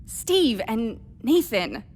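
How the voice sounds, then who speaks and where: High-voiced, earnest woman astronaut. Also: sing-song, a little mellow, exaggerated voice. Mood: anguished, guilty. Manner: halting, emotional delivery. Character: earnest, vulnerable astronaut woman, a captain from Texas. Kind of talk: naturalistic radio drama acting.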